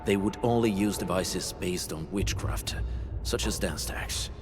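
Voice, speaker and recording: Game role: gruff former bandit fighter, low-pitched, measured and knowing, under loud music. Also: male voice. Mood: grave, explanatory. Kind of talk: video game voice acting.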